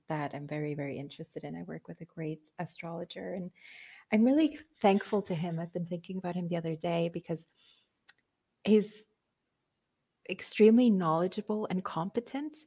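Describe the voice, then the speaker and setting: A woman's voice: lowish voice, mellow, husky. A woman guest on a podcast, talking in a casual, earnest way, laughing often and using many fillers. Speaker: podcast guest, business coach. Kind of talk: casual conversational interview.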